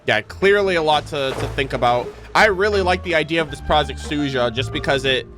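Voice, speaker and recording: A man's voice: a little high, brisk, a bit bright. A young man doing casual reaction commentary as a game streamer, talking in an informal, slangy way. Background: game music.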